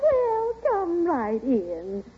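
Lively, sensible radio housewife. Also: female voice, very high voice, very slow, full character voice. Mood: brisk, motherly. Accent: American. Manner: chiding, soothing. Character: sensible, reassuring middle-aged housewife and mother. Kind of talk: 1940s radio sitcom acting. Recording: noisy, tinny old radio recording.

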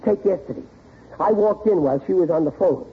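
Man acting a high-pitched, blustering radio sitcom dad. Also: brisk, close to an everyday voice. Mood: suspicious grumbling. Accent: American. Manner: grumbling, ungrammatical, indignant. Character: suspicious, blustering working-class father, middle-aged. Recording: tinny old radio broadcast recording.

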